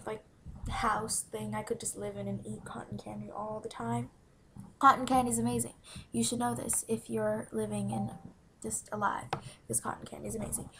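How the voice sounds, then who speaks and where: A teenage girl chatting casually into a webcam in a small room, answering follower questions in a bubbly, giggly way and often dropping to a whisper. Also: on the high side. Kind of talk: casual Q&A vlog. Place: small room indoors by a window. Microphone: webcam from below at close range.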